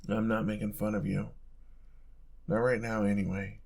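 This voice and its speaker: A young man playing an affectionate, playful roommate in an intimate audio roleplay, talking in a soft, casual, teasing way. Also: on the low side, level tone, moderate pace, creaky. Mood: gentle, caring.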